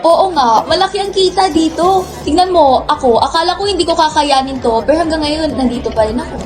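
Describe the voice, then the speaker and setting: A gloating, taunting bar worker, played by a woman in an audio drama. The voice is very high, over clear music and crowd noise. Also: a little fast, natural voice.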